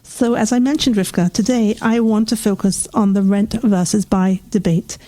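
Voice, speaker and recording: Mellow-voiced woman podcast host. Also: mid-range voice, moderate pace. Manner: warm-up reading then lively reactions. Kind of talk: scripted intro then interview chat.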